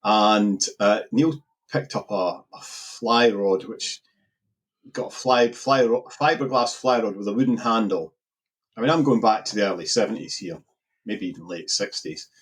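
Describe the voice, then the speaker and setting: A middle-aged man with an Edinburgh Scottish accent talks with a slightly husky, somewhat low voice. He rambles through his stories in a relaxed podcast interview, often repeating words and circling back as he goes. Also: moderate pace. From Scotland. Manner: rambling, repeats words while searching for them. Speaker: guest angler.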